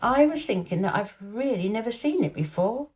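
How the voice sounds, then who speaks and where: A woman's voice: fairly high pitch, expressive, moderate pace, a little mellow, close to an everyday voice. A woman voicing a lordly young invalid boy in a storybook, self-important and precise, with a dreamy streak. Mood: dreamy, excited.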